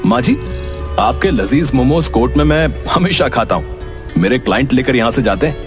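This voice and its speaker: A man's voice: medium pitch, expressive, fairly quick, music in the background, close to an everyday voice. Man playing a confident, courteous city lawyer. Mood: friendly, curious. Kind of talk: cartoon moral-story acting.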